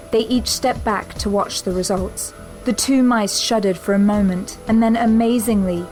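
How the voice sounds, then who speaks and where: A woman giving steady, measured scripted narration for an audio drama. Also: medium pitch, poor audio.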